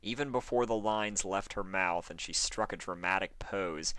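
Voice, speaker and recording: A man reading fan fiction aloud as scripted, expressive story narration. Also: sits low, moderate pace, smooth, no echo.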